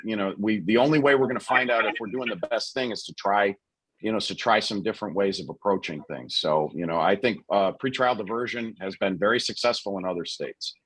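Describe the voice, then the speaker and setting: A man, a lawyer running for office, answering forum questions over a video call in a relaxed way with many you-knows. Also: on the low side, a little fast, husky, dry recording, low-quality recording. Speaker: lawyer, candidate.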